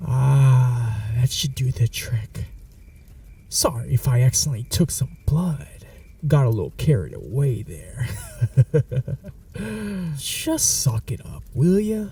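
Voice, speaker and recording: A man's voice: mid-range voice, very animated, takes it slowly, vocal fry, long pauses, warm tone, recorded from a distance, full character voice. A young man voicing a smug, possessive male vampire in an ASMR roleplay, mocking and dropping into whispers. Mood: smug teasing.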